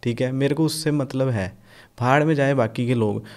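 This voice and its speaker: A young man from Punjab talking casually on a podcast, blunt and animated, swearing freely and mixing in English. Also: mid-range voice, moderate pace. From Punjab, India. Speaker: podcast guest, rapper.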